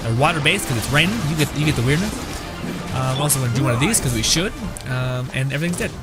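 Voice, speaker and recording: A young male streamer chats through his game in a medium-pitched voice, with clear music behind him. His commentary is casual and slangy, wandering along with wry asides. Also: animated, fast talker. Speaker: gaming streamer.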